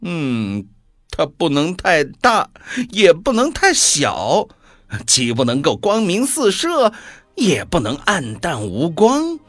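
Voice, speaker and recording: A gleefully mocking devil in a radio drama. The delivery is slow and theatrical, with pitch that lurches wildly from low to high. Every line is a taunt, recorded close and dry. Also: wildly swooping pitch, a bit bright, no echo, full character voice. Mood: sly, deliberate. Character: mocking devil gleefully wagering on a world's fate.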